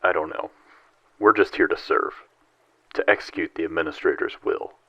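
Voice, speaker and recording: An actor playing a cool, loyal psionic agent in a sci-fi audio drama, speaking in a measured, dutiful manner. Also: male voice, low-pitched, sharp tone, no echo, highs cut off.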